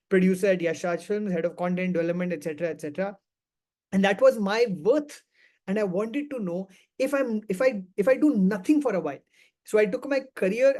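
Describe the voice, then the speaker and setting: High-voiced man, earnest and reflective. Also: darkish tone. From India. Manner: earnest and reflective, with frequent restarts and repeated phrases. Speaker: panel guest. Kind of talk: candid personal storytelling.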